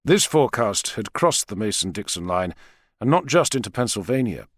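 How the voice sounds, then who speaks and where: A man's voice: lowish voice, sing-song, moderate pace, husky, no room sound. A man reading a novel aloud as a scripted audiobook narration.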